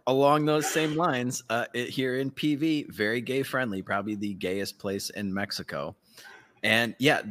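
A man's voice: fairly low pitch, pushed and bright. A man co-hosting a podcast in casual comedic banter, irreverent, profane and rambling. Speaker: podcast co-host.

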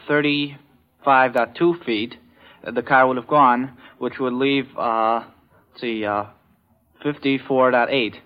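A male quiz contestant thinking aloud in a hesitant, methodical, halting way on a vintage radio recording. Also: mid-range voice, muffled recording. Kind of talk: thinking aloud through arithmetic.

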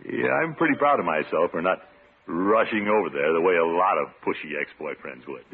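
A tinny old radio recording carries a blustery middle-aged bachelor. His voice is low and full of big swoops, pompous and self-important in a classic radio sitcom way. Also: expressive, fairly quick, slight background noise. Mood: smug. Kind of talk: 1940s-50s radio comedy acting.

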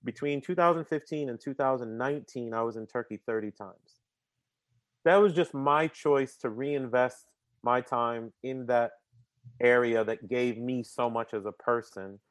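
A man's voice: medium pitch, unhurried, no room sound. Talkative man with a dry close sound. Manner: energetic, talkative, repeats words when starting sentences; uses casual slang like "man" and "bruh". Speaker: podcast guest. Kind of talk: casual interview conversation.

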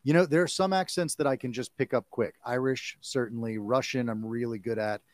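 A man in his forties, a guest actor in a casual chat, talking in an animated, jokey way. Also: mid-range voice, no echo. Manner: animated and jokey, quick to laugh. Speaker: guest, actor and audiobook narrator.

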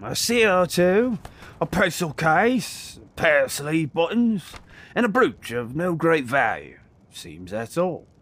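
A man's voice: fairly high pitch, a bit bright, heavily acted. A man playing a sly, jocular, miserly old junk dealer in an audio drama. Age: older.

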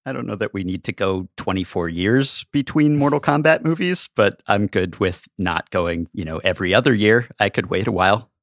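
Male podcast guest, slightly low pitch, casual discussion, dry sound. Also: normal speed, few pauses, no echo. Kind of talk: casual pop-culture discussion.

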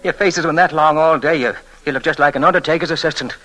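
An actor playing a cocky, unstable young murderer in an old-time radio thriller, insinuating and halting, repeating himself and swinging from soft to frantic. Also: male voice, on the high side, fairly even intonation, low-quality recording. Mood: cocky, drunken. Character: cocky, unstable young murderer, a former hotel page boy.

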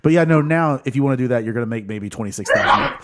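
A man's voice: medium pitch, fast. A man hosting a casual podcast, rambling and laughing often as he chats with friends. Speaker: podcast host. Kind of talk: casual podcast banter while tasting drinks.

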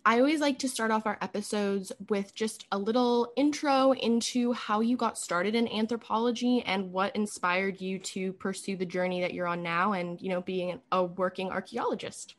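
A woman's voice: medium pitch, steady tone, moderate pace, few pauses. A woman hosting an interview podcast, asking chatty, eager questions. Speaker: podcast host. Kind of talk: casual interview.

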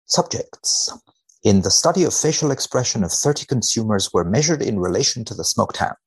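A man speaking as a podcast guest in a measured, deadpan way with dry humour, both chatting and reading research aloud. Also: lowish voice, slightly rough. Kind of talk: wry conversation and dramatic reading of a research paper.

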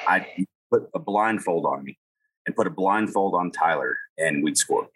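A man's voice: sits low, normal speed, fairly bright tone, slightly rough, rough recording. A man co-hosting a podcast in casual chat, telling rambling stories and chuckling as he goes. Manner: rambling storyteller, chuckles mid-sentence. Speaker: podcast co-host.

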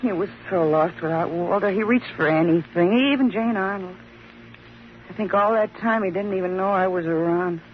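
A woman's voice: fairly low pitch, moderate pace, lightly acted. An actress playing a devoted, anxious American woman in an old radio drama, speaking earnestly and emotionally. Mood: wistful. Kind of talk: 1950s radio drama acting. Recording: muffled, slightly noisy old radio recording.

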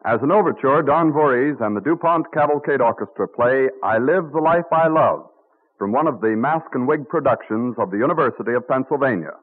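An American man announcing formally from a script in a stately, measured 1930s radio style. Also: mid-range voice, lively intonation. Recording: tinny band-limited old radio broadcast, music.

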